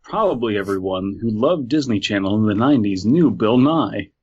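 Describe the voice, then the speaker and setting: A man's voice: on the low side, animated, moderate pace, a little mellow, slightly rough, dull audio. A man co-hosting a comedy podcast, rambling in mock-philosophical musings during casual banter. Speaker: podcast co-host.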